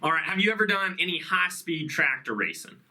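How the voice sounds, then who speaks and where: A man playing an earnest, courteous high school sports coach making a formal recruiting pitch in a prank phone call. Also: animated, brisk, pushed and bright. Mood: persuasive, earnest. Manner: courteous, formal, salesman-like patter.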